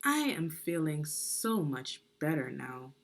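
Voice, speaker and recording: A woman voicing an expressive, gracious storybook queen who loves desserts and savours her words. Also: low voice, takes it slowly, gravelly, heavily acted. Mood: relieved.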